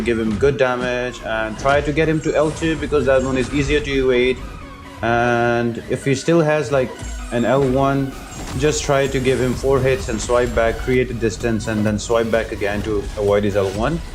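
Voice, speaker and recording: A man's voice: medium pitch, fairly even intonation, moderate pace, music in the background. A man giving an informal, explanatory game walkthrough as a video host, talking directly to viewers. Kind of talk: casual game walkthrough commentary.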